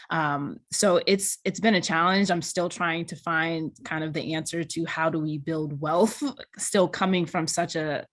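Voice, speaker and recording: A young woman from Boston talks casually on a video call, her voice very low for a woman. The sound is dry and close, and she keeps an easygoing tone. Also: no echo. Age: young adult. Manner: easygoing, laughs lightly between points. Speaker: panelist, business founder.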